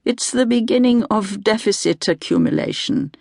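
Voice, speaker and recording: Woman as a soft, unhurried older male doctor. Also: on the high side, very animated, dry recording, clean studio sound, voice pushed up. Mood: mild, clinical. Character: mild, unhurried older male neurologist, quietly clinical.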